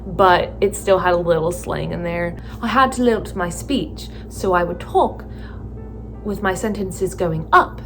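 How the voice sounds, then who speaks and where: A young woman with a Californian accent speaks in a lowish, tired voice. She takes her time, restarts her sentences and fills pauses with "um" and "like" as she reflects to the camera. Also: faint music behind, poor audio. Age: young adult. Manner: slow, thoughtful, with many fillers and restarts. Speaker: theatre student vlogger. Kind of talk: casual reflective talk to camera.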